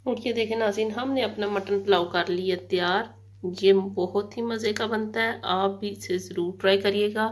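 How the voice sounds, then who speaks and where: A woman narrating a cooking recipe step by step, in a steady, instructive way, in a home kitchen. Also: medium pitch, moderate pace. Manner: steady, instructive, repeats "ye dekhiye". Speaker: cooking channel host. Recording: loud kitchen sizzle behind.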